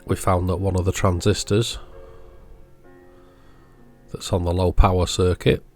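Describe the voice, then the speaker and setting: A man with a low-pitched voice narrates a hands-on repair demonstration. His delivery is informal and matter-of-fact, in the manner of an electronics channel host explaining each step. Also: level tone, measured pace, faint music behind.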